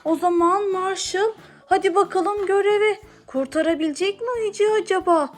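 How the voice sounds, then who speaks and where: A woman's voice: very high voice, normal speed, soft background music. A woman playing toys with her young son, narrating playfully for children and encouraging him with questions.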